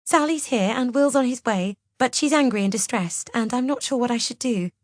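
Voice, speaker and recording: A woman's voice: fairly high pitch, fairly quick, no echo, studio quality, pitched above the performer's own voice, natural voice. A woman voicing a scheming young woman who puts on a tremulous, tearful, terrified voice in an audiobook story. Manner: tremulous, gulping, sobbing when on show.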